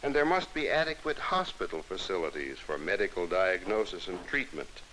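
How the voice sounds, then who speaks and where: Hissy 1960s film soundtrack, American male narrator, low bright voice, formal, instructive, scripted delivery. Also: sing-song, moderate pace, rough recording.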